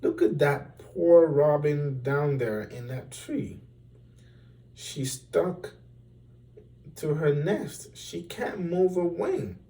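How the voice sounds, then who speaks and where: A man's voice: a little high, expressive, extremely slow, mellow, far from the mic, heavily acted, rough recording. A man voicing an earnest, worried young errand boy in a storybook, urgent and calling out.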